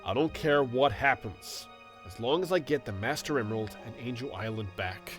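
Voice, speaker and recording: Man as an animated, stubborn echidna guardian. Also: medium pitch, normal speed, soft background music, natural voice. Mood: defensive. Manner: stubborn, defensive. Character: proud, stubborn male echidna treasure guardian. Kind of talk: audio drama acting.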